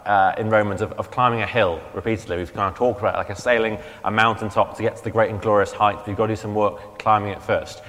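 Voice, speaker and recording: A man, a vicar preaching a sermon in a relaxed, conversational way, his throat strained and failing, often correcting himself as he goes. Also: mid-range voice. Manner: conversational, chatty, self-correcting. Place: church hall. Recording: long hall reverb.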